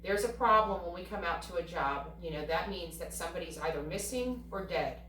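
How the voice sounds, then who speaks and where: A woman's voice: fairly low pitch, lively intonation, a little fast, fairly bright tone. A woman giving earnest, emphatic interview answers, often building them in repeated phrases. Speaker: online video creator, interviewee. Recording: roomy, distant mic, faint noise.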